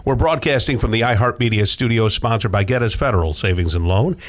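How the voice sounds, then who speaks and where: A man's voice: lowish voice, quick pace. A man with an American accent hosting a casual, bantering talk radio show from a studio.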